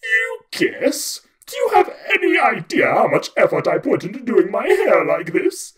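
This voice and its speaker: A man voicing a huge, petulant, tick-like male Dark God who acts like an internet troll, loud, whiny and theatrical. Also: high voice, wildly swooping pitch, a little slow, voice pushed up, exaggerated voice. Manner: loud, whiny, theatrical, touchy about his hair. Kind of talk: storytelling with voiced characters.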